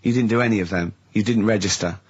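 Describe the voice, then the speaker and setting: Male radio host, southern English, husky, mid-pitched, casual and teasing, lo-fi broadcast sound. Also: fast. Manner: teasing, explanatory. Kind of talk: casual comedic radio banter. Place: radio studio. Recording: muffled lo-fi radio recording.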